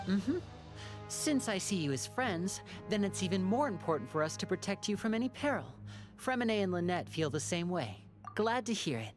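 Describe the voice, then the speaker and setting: An actor playing a composed, watchful young man in a video game, speaking politely and deliberately, with music behind. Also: sits high, big pitch swings. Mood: courteous, earnest. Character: composed, courteous, watchful young man, protective older brother.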